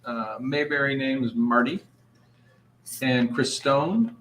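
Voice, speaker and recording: A man's voice: mid-range voice, slow, fairly bright tone, rough recording. A man directing an informal table read and reading out the stage directions. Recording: faint background noise.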